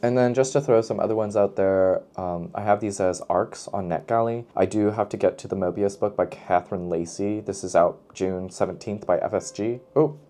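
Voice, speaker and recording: A man's voice: lowish voice, normal speed, few pauses, mellow. A man talking casually to camera as a book vlogger, playful and emphatic, often repeating a phrase for effect.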